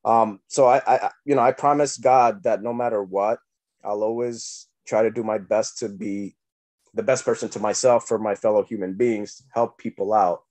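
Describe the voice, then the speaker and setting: A young man with a lowish voice shares his story earnestly on a video call. He leans on fillers and agreement-seeking asides. The sound is dry and close. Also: fairly even intonation, moderate pace, darkish tone, no echo. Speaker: guest sharing his story. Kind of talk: casual personal testimony on a video call. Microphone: laptop webcam mic on video call.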